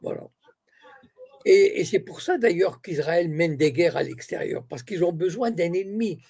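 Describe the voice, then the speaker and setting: A man's voice: high voice, expressive, normal speed, gravelly, warm tone, breathy edge. A man from Morocco whose first language is Arabic, answering questions as an interview guest and explaining point by point with many restarts.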